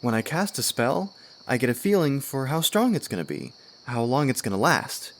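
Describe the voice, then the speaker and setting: A man's voice: mid-range voice, big pitch swings, normal speed. A man playing an earnest, eager young unicorn student of magic in a fan radio drama. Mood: friendly, explaining. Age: young adult.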